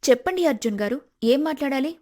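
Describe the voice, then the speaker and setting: A woman voicing a polite, grateful young woman in an animated moral story, respectful and earnest. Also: high-pitched, fast.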